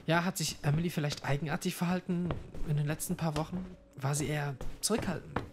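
A man's voice: fairly high pitch, fairly even intonation, fast, over music, close to an everyday voice. A young man playing an earnest student investigating disappearances, also narrating. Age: young adult.

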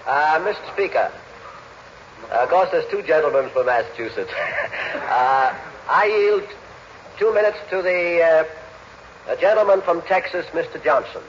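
A man speaks formally and haltingly in parliamentary style. His voice is fairly high. The 1940s radio broadcast is muffled with faint hiss. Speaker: congressman yielding floor time.

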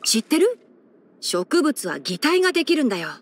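A woman's voice: sits high, sing-song, normal speed. A woman voicing a young male fox-eared ranger in a video game, scholarly and sensible, speaking in a composed, articulate, mildly wry way. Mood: curious, explaining. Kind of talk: scripted video game character voice-over.

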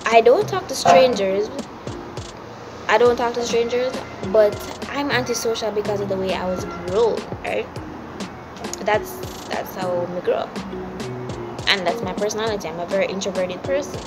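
A woman's voice: medium pitch, normal speed, over loud music. A young woman with a Jamaican accent answers viewer questions in a blunt, chatty way, slipping into patois, filmed on a phone at arm's length. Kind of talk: casual Q&A chat. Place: indoors against a plain wall. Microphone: camera or phone at arm's length.

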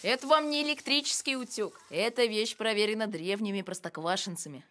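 A woman voicing a sensible, resourceful young village boy who explains things matter-of-factly in an animated cartoon. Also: mid-range voice, brisk, very noisy, natural voice. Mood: confident.

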